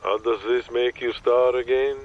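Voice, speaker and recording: A man's voice: medium pitch, level tone, moderate pace. Muffled, weary agent on the phone, acted by a man. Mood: exasperated. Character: weary, skeptical male Hollywood agent on the phone. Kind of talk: comic audio drama acting. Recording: dull, muffled phone-call sound.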